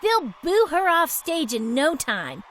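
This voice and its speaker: Pompous, high-voiced diva villainess. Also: female voice, sing-song, slow, a bit bright, exaggerated voice. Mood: indignant, scheming. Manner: pompous, theatrical. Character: haughty, scheming diva villain, woman singer. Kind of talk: animated film acting. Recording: crowd noise behind.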